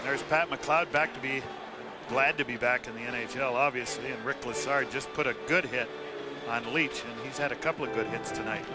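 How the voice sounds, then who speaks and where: A man's voice: mid-range voice, a little fast, loud music behind the voice. A man giving live colour commentary and analysis at a hockey game. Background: hockey arena.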